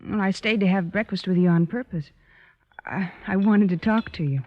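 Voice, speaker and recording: An actress playing a patient, gently firm young woman looking after a younger brother in an old-time radio drama. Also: medium pitch, normal speed, a little hiss or hum, natural voice. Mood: concerned, firm. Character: concerned, patient young working older sister. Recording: muffled old radio recording.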